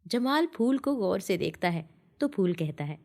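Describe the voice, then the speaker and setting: A woman's voice: mid-range voice, big pitch swings, moderate pace, a little mellow. A woman narrating a scripted children's story as a storyteller.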